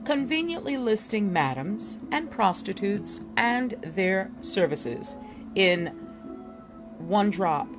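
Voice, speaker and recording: A woman giving an expressive, deliberate reading of an illustrated book as a narrator, with dramatic delivery. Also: medium pitch. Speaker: reader presenting a book chapter. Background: music.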